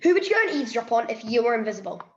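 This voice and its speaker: Young female host, bright high voice, upbeat quickfire questions, echoey muffled recording. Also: sing-song. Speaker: interviewer and host of the series. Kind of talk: casual interview questions. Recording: echoey, dull lo-fi sound.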